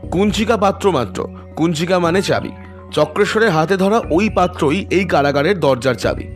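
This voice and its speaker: A male actor plays a composed, confident detective in an audio mystery. His voice is a little high for a man and his delivery is deliberate and explanatory. Music runs softly behind him. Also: natural voice. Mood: composed, explaining. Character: calm, self-assured male detective explaining a riddle. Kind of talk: dramatised audio detective story.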